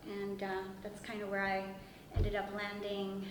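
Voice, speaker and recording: A woman whose first language is Spanish, talking through her background in a relaxed roundtable discussion, thoughtful and halting with frequent fillers. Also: medium pitch, a little hiss or hum. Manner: reflective, halting with many fillers. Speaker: discussion participant. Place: room with a table, group seated. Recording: echoey room with long reverb.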